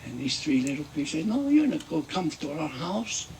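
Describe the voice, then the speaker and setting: A man playing a Mexican-American storyteller who tells a comic fairy tale in broken English, with drawn-out, repetitive folksy phrasing. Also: on the high side, very animated, darkish tone, distant mic, slight background noise, poor audio. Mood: comic indignation. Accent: put-on Mexican-American.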